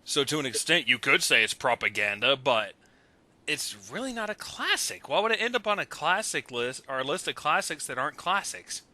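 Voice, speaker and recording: Very bright-voiced man chatting casually. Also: fairly high pitch, big pitch swings, normal speed, dry recording. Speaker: participant in a recorded online voice chat. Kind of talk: casual chat. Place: recorded Skype call. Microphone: headset in an online voice call.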